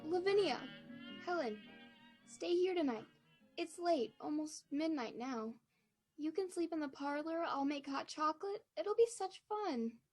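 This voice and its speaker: A woman's voice: high voice, lively intonation, measured pace, darkish tone, soft background music. A woman playing an anxious, pleading young woman who clings to her friend, in the style of an old radio play. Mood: coaxing. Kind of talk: 1940s radio play acting.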